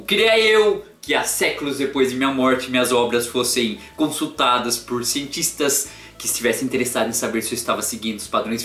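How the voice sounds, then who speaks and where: A man hosting a comedic art history lesson in a theatrical way, jumping between loud sarcastic outbursts and hushed musing. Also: medium pitch, echoey room. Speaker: art history channel host. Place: in his room.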